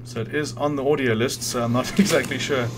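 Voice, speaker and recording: A man's voice: mid-range voice, fairly even intonation, a little fast, noisy background. A man giving casual, informal walkthrough commentary on a video game, thinking aloud and correcting himself as he goes. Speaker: gamer hosting a video game guide. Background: video game music and sounds.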